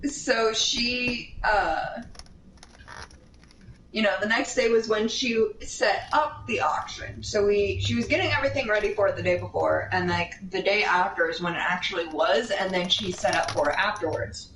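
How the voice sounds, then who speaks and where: A woman of medium pitch tells an anecdote in a casual, animated, rambling manner. She slips into tangents and performs the quotes of the people involved. The recording is echoey and a bit distant from the microphone, with faint noise behind her. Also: moderate pace. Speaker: storyteller. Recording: roomy, distant mic, steady background noise.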